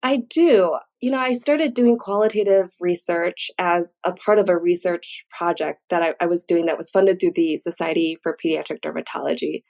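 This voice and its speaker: A woman with a fairly low voice and plenty of vocal fry speaks as an interview guest. She explains her points conversationally and informally, as a professional would on a podcast. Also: steady tone, moderate pace, few pauses, darkish tone. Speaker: guest, physician and researcher.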